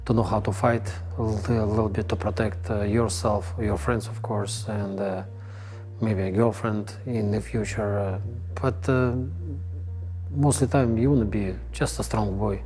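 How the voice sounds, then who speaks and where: A man speaking halting English in a documentary interview, often pausing to search for words. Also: on the low side, steady tone, a little mellow, soft background music. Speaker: interviewee, fighter.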